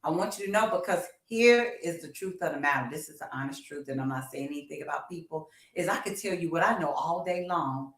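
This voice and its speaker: A woman's voice: deep voice, brisk, hardly any pauses. A woman in her fifties co-hosting a casual livestream, talking animatedly and encouragingly straight to her viewers. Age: 50s. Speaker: co-host of a music talk livestream. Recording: roomy, echoey livestream sound.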